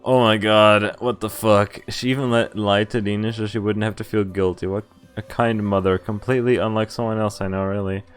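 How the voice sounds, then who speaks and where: Very low-voiced woman streaming over game music. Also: fairly quick, few pauses. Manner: expressive read-aloud with muttered swearing asides. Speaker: streamer playing a visual novel. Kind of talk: reading game text aloud with casual reactions. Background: visual novel background music.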